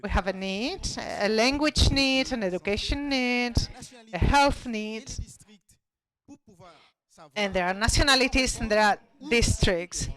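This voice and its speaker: Brief: conference presenter, very slow and deliberate, halting with restarts, mid-pitched voice. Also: fairly bright tone, rough recording. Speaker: panel speaker presenting a study.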